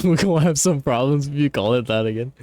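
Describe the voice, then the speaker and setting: A man's voice: mid-range voice, expressive, fast, darkish tone. A man doing casual, profanity-laced live game commentary and banter, with a blunt, teasing, slangy manner. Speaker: game streamer. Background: music.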